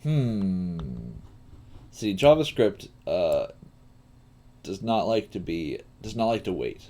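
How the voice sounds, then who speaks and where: Low-voiced man thinking aloud while coding. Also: lively intonation, dark tone. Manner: halting, pausing mid-thought while working. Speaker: coding teacher. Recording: clear background noise, keyboard typing.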